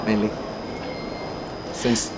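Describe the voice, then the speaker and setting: A man, a singer and actor, answering interview questions in a friendly, hesitant way. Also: drawn-out words. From Iceland. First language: Icelandic. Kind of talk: casual interview chat. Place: busy press center. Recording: dull sound, loud background noise.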